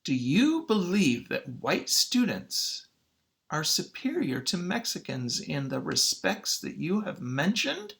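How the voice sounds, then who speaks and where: A man's voice: on the high side, very animated, takes it slowly, vocal fry, fairly bright tone, exaggerated voice. A man voicing a probing male lawyer who puts formal, pointed questions to a witness in a storybook.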